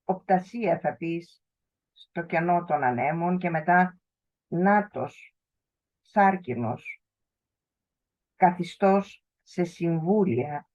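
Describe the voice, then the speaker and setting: A woman with a low voice reads her own poetry in a slow, deliberate way, letting each line settle. She is a native Greek speaker. The sound is dull and lo-fi, the way a video call sounds. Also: darkish tone. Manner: slow, measured recitation. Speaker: poet. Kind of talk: poetry reading.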